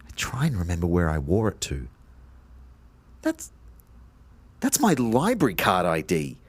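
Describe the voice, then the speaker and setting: A man's voice: sits low, huge pitch swings, measured pace, husky, no echo, full character voice. An Australian actor playing a confused, exasperated ghost of a man who narrates his own story with wry disbelief. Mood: wry, puzzled. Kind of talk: first-person audio drama narration.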